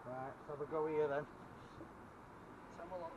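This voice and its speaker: A man's voice: on the high side, steady tone, moderate pace, muffled recording. A middle-aged man chatting casually and matter-of-factly to a camera a few feet away, as an outdoor vlogger. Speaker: vlogger, magnet fisher. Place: outdoors on a canal towpath by bridges. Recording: noisy outdoor canal-side camera audio. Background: clear outdoor ambient noise.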